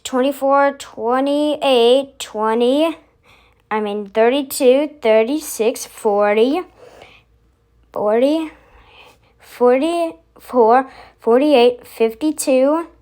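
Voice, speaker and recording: A high-pitched voice hosts a casual vlog at an unhurried, slow pace. The speaker rambles along, thinking aloud between one remark and the next. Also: a little mellow. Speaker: video host.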